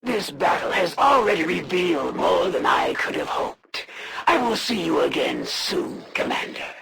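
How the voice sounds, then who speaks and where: Casting: proud alien warrior villainess for a video game; slow, measured, imperious delivery, gravelly edge, cold menace. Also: female voice, on the low side, very animated, fairly bright tone, heavily acted. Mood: cool disdain. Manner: formal, imperious, menacing, deliberate pauses.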